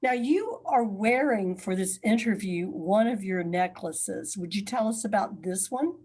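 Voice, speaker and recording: A woman's voice: medium pitch, lively intonation, measured pace, darkish tone, breathy edge. A woman interviewer asking her guest polite, friendly questions.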